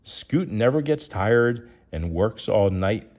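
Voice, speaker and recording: A man's voice: lowish voice, expressive, slow, a little mellow. A man reading a rhyming children's picture book aloud as its narrator.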